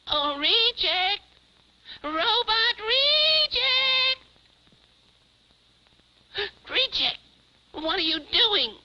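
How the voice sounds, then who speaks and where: Boyish alien puppet, high-pitched, piercingly bright, exclamatory, caring, bossy, vintage TV puppet show. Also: very animated, extremely slow, long pauses, heavily acted. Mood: urgent, scolding. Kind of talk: 1950s television puppet show acting. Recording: muffled 1950s television soundtrack, faint hiss.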